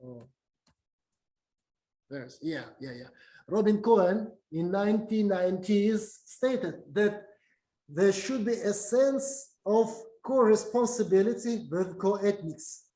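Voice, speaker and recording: A slow, hesitant lecturer with a Russian accent speaks in a fairly high voice, pausing often and restarting his sentences. His talk comes through an echoey video call recording, as if from a big room. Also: expressive, mellow. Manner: halting, many fillers and restarts.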